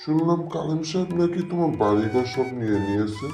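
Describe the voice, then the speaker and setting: A man's voice: fairly high pitch, lively intonation, fast. A man playing a reluctant, apologetic older village man in a cartoon story, subdued. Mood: reluctant apology. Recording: echoey room under music.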